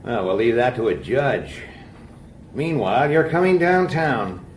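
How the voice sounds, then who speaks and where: An actor playing a gruff, world-weary police detective in a radio drama, with a blunt manner. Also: male voice, medium pitch, very animated, unhurried. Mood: confrontational. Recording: very noisy old radio drama recording.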